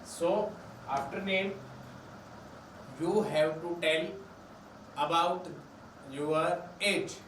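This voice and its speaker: A man, teaching a classroom lesson, explaining slowly and repeating each phrase. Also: on the high side, fairly bright tone. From India. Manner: slow, repetitive explaining, drawn-out words. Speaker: Spanish teacher. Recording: echoey classroom from far off, noisy.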